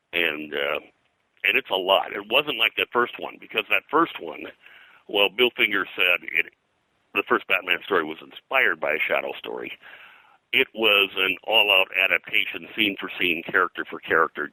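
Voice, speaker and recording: An older man speaking as an interview guest, reminiscing in a halting, digressive way and often clearing his throat. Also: low-pitched, expressive, pushed and bright, dry recording, poor audio. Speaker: guest, pulp and comics historian. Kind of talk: interview conversation, reminiscing about his work.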